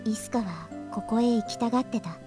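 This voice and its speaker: A woman's voice: on the high side, steady tone, rapid-fire, close to an everyday voice. Woman acting a wistful, boyish-spoken young woman over music. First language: Japanese. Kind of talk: Japanese visual novel voice acting.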